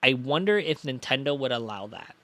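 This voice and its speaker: A man's voice: mid-range voice, lively intonation, brisk, sharp tone, no echo. A young man streaming a game, chatting in a playful, rambling, self-mocking way. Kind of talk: live-stream game reading and chat.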